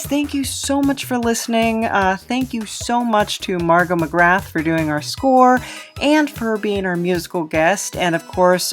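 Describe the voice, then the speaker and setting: A woman hosting a podcast, speaking in a casual, chatty style. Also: mid-range voice. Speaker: podcast host and writer.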